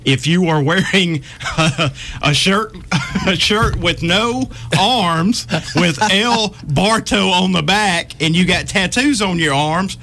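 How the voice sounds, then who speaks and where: A man's voice: high-pitched, takes it slowly, sharp tone, slight background noise. Blunt Southern man, casual chat. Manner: blunt, folksy, laughs while talking. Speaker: podcast co-host, tradesman.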